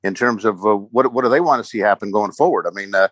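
A man giving casual sports podcast analysis as a guest commentator, halting and hedging with many ums and repeated words. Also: fairly low pitch, fairly bright tone, no echo. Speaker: sports commentator guest, former radio play-by-play announcer.

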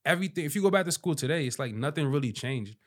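A man's voice: fairly high pitch, expressive, rapid-fire. A man from Boston co-hosting a podcast, talking loosely with lots of fillers and arguing his point. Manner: loose, filler-heavy, argumentative. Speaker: podcast co-host. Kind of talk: casual discussion.

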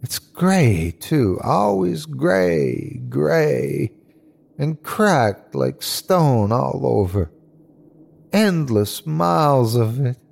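A man voicing an anguished dying man in a horror story, his voice strained and halting as he speaks in short bursts. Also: medium pitch, animated, vocal fry, darkish tone. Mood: horrified awe. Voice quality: strained, sometimes whiny or growling. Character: dying man, frightened, awed, turning bitter and hateful. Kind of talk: dramatic horror story reading.

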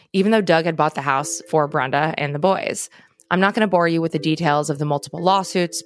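A woman co-hosting a true crime podcast, narrating the case and dropping casual asides. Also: deep voice. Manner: conversational, adds casual asides. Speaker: podcast co-host.

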